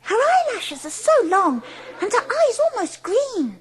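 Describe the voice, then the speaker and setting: A woman's voice: extremely high pitch, sing-song, a little slow, exaggerated voice. A woman playing a wide-eyed, admiring, gossipy little schoolgirl in an audiobook drama. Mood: admiring, excited.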